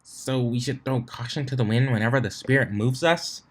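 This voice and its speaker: A man's voice: mid-range voice, moderate pace, close to an everyday voice. A man voicing a bookish, contrary young female unicorn in a storybook reading, with a pedantic, lecturing manner. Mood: annoyed.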